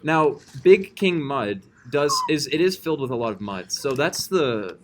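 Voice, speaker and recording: A man's voice: mid-range voice, sing-song, normal speed, noisy background. A man hosting a comedic podcast, speaking in mock-serious, declamatory banter. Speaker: podcast host.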